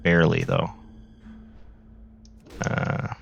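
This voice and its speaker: A man with a low, gravelly voice chats slowly and loosely while he plays, full of filler words. His voice sounds a little worn after being sick. The home recording is somewhat muffled. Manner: relaxed, rambling, many fillers. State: recovering from flu, voice a bit worn. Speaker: gaming video creator. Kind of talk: casual gameplay commentary. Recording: slightly dull, lo-fi audio.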